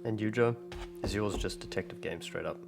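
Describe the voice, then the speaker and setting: A man with a fairly low voice talks casually among friends as a player on a tabletop podcast. Music plays clearly behind him. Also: brisk.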